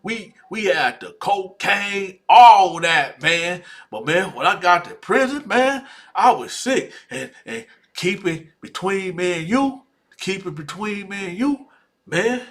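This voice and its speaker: A man's voice: high-pitched, expressive, slow pace, fairly bright tone, full character voice. Guy putting on a bragging old jailhouse lifer, drawls, says man every line, voice jumps around a lot. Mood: confiding. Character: 63-year-old male longtime prison inmate, boastful.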